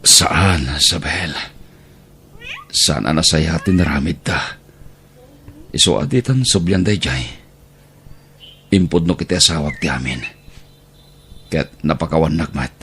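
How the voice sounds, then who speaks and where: A man's voice: rumbling low, expressive, unhurried, pauses often, sharp tone, exaggerated voice. A man with an Ilocano accent playing a volatile, remorseful village husband in an audio drama, recorded with some noise. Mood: remorseful, firm.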